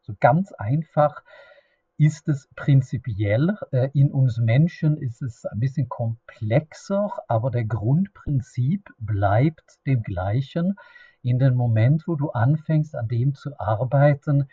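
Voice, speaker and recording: A middle-aged man with a Swedish accent talks slowly and haltingly, restarting sentences as he searches for words. His voice is mellow and a little husky. The recording is dry and somewhat dull, with no room sound. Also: medium pitch, expressive, dry recording. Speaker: podcast host. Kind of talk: unscripted solo podcast monologue.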